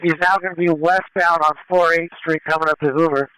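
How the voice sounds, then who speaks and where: A man calling a police pursuit over the radio, directing units in a measured, matter-of-fact way. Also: fairly high pitch, steady tone, bright, forceful tone, smooth, low-quality recording. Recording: live police scanner radio transmission.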